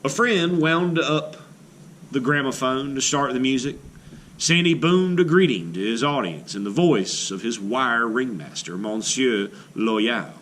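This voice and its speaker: Male art teacher reading a storybook to kids, bright and animated, faint room noise behind. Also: medium pitch. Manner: expressive storybook reading, slowing on key phrases. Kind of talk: children's read-aloud.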